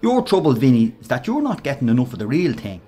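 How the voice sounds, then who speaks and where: An actor with a Dublin accent playing a brash, mocking workplace bully in a radio play, talking in slangy, sneering bursts. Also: male voice, medium pitch, huge pitch swings, fast talker, dark tone, breathy edge. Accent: Irish, Dublin.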